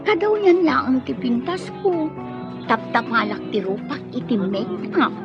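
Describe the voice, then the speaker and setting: Radio drama casting: wistful young woman, high voice, unhurried, over loud music. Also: big pitch swings, heavily acted. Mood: chatty. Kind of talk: Ilocano radio drama acting. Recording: muffled audio under loud background music.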